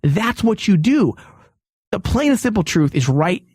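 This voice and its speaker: A man with a slightly high voice hosts an opinionated panel discussion. He argues emphatically, driving his points home. The sound is dry and close, with little room around it. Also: sing-song, a little slow, a little mellow, breathy edge, no echo. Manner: emphatic, argumentative. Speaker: podcast host.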